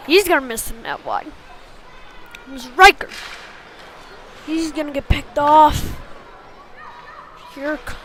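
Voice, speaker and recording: A woman calling a youth hockey game live in short, clipped phrases, getting excitable on big plays. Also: sits high, slight background noise. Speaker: play-by-play hockey commentator. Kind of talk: live sports commentary.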